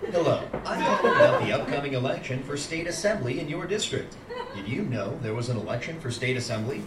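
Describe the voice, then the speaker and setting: An actor playing an automated political phone-poll caller who gets increasingly pushy, with formulaic prompts swinging into exaggerated salesmanship, performed live on stage. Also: male voice, mid-range voice, expressive, fairly quick, natural voice. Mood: formulaic, polite. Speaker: actor in a live interactive radio play. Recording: roomy, distant, noisy live theater recording.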